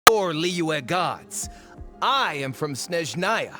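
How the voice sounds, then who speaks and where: A man's voice: a little high, sing-song, very slow, music in the background, full character voice. A man playing a greedy, arrogant treasure-hunting villain in a video game, blustering and sneering theatrically. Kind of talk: video game character acting.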